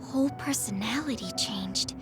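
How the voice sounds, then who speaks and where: A woman's voice: a little high, moderate pace, music in the background, close to an everyday voice. A woman playing a blunt, composed girl in a dubbed video game cutscene.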